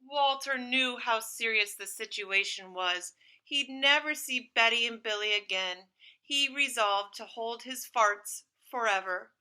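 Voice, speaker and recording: Bright, high-pitched woman reading a story. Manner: expressive, dramatic storytelling. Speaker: teacher reading aloud to pupils. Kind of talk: animated storybook read-aloud.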